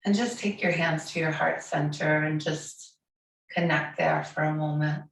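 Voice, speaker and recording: A hoarse woman in her forties with a raspy voice from a cold, gently guiding a yoga class with soft, soothing instructions. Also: deep voice, fairly even intonation. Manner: slow, soothing, softly encouraging. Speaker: yoga teacher. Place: bright home studio room with large windows. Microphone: camera across the room. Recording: echoey room, voice from far off.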